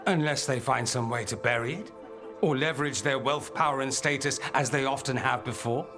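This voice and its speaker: An actor playing a suave, ambitious mage and rebel schemer in a fantasy video game, speaking with wry, theatrical eloquence. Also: male voice, mid-range voice, steady tone, fairly quick, music in the background, natural voice. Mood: cautioning. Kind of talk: dramatic video game dialogue acting.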